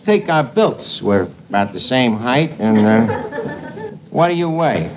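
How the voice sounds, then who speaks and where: An actor playing a cocky, uneducated tavern manager in a 1950s radio comedy, with a New York accent and pompous, long-winded mangling of big words. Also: male voice, medium pitch, animated, measured pace, dull audio, close to an everyday voice. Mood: chatty, smug. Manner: long-winded, pompous, mock-genteel malapropisms. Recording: noisy old radio broadcast, loud studio audience.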